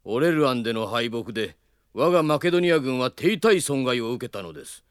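A man's voice: medium pitch, lively intonation, a little fast, smooth, dry recording, studio quality, natural voice. Man playing a haughty, scheming army general. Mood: condescending. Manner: formal, condescending, archaic courtly speech. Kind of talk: radio drama acting.

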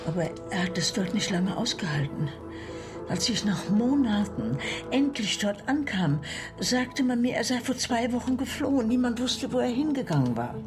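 An actress playing a grieving, devout frontier mother in a Western radio drama, solemn and often hushed. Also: fairly high pitch, sing-song, normal speed, music in the background. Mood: sorrowful, solemn.

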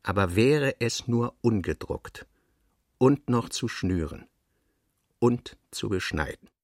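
An actor playing a brooding, self-critical male novelist reading his working notes in a deliberate, fragmentary way for a radio play. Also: medium pitch, expressive, a little mellow. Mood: dejected self-reproach.

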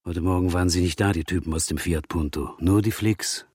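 An actor playing a stubborn, plain-spoken friend in a radio drama, terse and matter-of-fact, with a husky voice. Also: male voice, low-pitched, brisk, darkish tone, no room sound, clean studio sound. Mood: subdued, firm.

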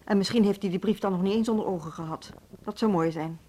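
An actress as a proud woman in authority in a radio play. Her mid-pitched voice is articulate and pointed, with a resolute, suspicious temper. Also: quick pace, dark tone, natural voice. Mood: indignant. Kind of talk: naturalistic Dutch radio drama acting.

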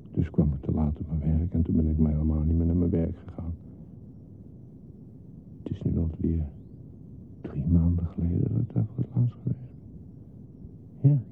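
A low, gravelly, lonely man confessing. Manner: hesitant, confiding, often near-whispering. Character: lonely disturbed man who longs to be a baby. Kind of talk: intimate radio play monologue. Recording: muffled lo-fi recording with hiss and rumble.

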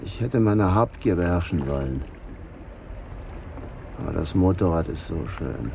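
Gravelly, low-voiced bitter outsider man. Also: lively intonation, a little slow, background noise, exaggerated voice. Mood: resigned. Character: wounded Papuan man confessing, bitter, resigned, lonely outsider. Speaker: actor in radio crime drama. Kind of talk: 1980s German radio drama acting.

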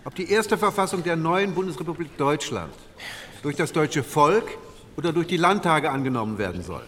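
A man playing an earnest delegate of 1949, speaking with deliberate, formal oratory in a dramatised protocol. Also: fairly high pitch, expressive, a bit bright, slight background noise. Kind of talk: dramatised reading of parliamentary protocol. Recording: reverberant assembly hall.

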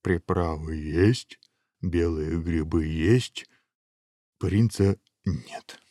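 A man voicing a huge, food-loving ogre in a storybook tale, earthy, unhurried and coaxing. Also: sits low, a little mellow, no room sound, studio quality, close to an everyday voice. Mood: disappointed.

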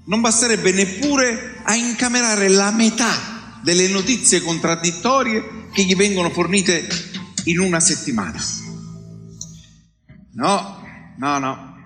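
A man's voice: fairly high pitch, a bit bright. A man giving an emphatic, dramatic theatrical recitation in an echoey hall. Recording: echoey hall, long reverb, faint music. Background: faint music.

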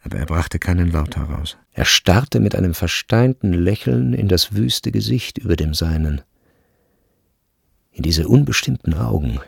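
Low, creaky-voiced male narrator. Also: lively intonation, dry recording. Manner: measured, deliberate. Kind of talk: literary scripted narration in a radio drama.

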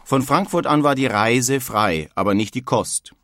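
A man's voice: medium pitch, animated, measured pace, a bit bright, a little hiss or hum. An actor playing a plain-spoken German emigrant who earnestly reads his old-fashioned letter home in a radio feature. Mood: earnest recounting. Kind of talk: dramatised reading of historical letters.

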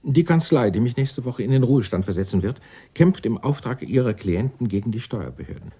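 A man's voice: medium pitch, lively intonation, brisk, dark tone, breathy edge. An actor playing an eccentric, indignant, self-righteous clerk in his sixties in a radio drama, speaking in a florid, pompous, theatrical way. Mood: self-satisfied. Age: 60s. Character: eccentric, indignant 64-year-old Dutch tax-law clerk, self-righteous. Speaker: murder suspect being questioned.

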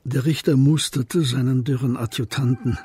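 A man's voice: medium pitch, steady tone, moderate pace, a little mellow, a little hiss or hum. A man narrating a German radio crime play in a scripted storytelling style.

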